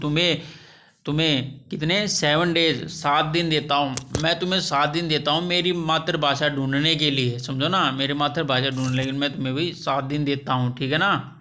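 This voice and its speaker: A man teaching English through a story, translating each phrase into Hindi with halting, repetitive explanations. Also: on the high side, sharp tone.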